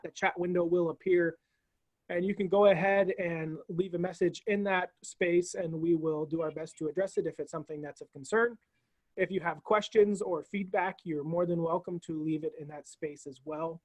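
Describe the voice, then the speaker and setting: A man hosts a university talk over a video call, running through housekeeping and introducing his guest in a friendly way. His voice sits on the high side, and the sound is dry and close. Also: steady tone, normal speed, dry recording, rough recording. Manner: friendly, housekeeping announcements with fillers. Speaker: university webinar host. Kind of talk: hosting a video call interview.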